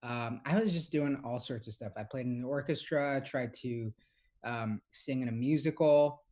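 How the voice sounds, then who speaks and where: A man's voice: mid-range voice, normal speed, warm tone. Rambling young man telling stories. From Hong Kong. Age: young adult. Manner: rambling storytelling with many fillers and restarts. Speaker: podcast co-host. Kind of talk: casual chat.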